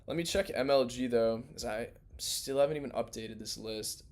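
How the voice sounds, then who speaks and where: A man's voice: medium pitch, moderate pace, darkish tone. Young man, slangy, losing his voice. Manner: loose, rambling, slangy, restarts mid-thought. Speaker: crypto livestream host. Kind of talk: casual livestream chat reading chat and charts.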